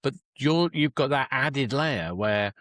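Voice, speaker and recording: A man's voice: mid-range voice, lively intonation, slow pace, fairly bright tone, dry recording. A man hosting a podcast, talking casually and thinking aloud in rambling sentences. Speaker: podcast host. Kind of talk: casual interview chat.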